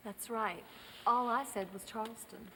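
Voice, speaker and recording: A woman's voice: a little high, a little slow, sharp tone. A woman playing a matter-of-fact small-town witness in a film, speaking plainly and naturally. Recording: echoing hall, faint background noise.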